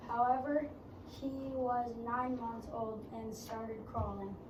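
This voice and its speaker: A woman's voice: on the high side, fairly even intonation, slow, muffled recording. A female student reading narration aloud for a readers theater tall tale in class. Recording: echoey noisy room, distant mic.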